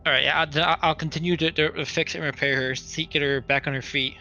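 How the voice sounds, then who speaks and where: Bright-voiced man playing a tabletop game. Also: on the high side, steady tone, a little fast, dull audio. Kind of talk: casual game-table talk.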